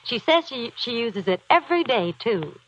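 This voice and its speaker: A woman's voice: a little high, very animated, moderate pace, a little hiss or hum. An actress playing a chatty, enthusiastic woman endorsing a beauty product in a scripted 1940s radio advertisement style. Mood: chatty, keen. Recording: dull 1940s radio broadcast recording.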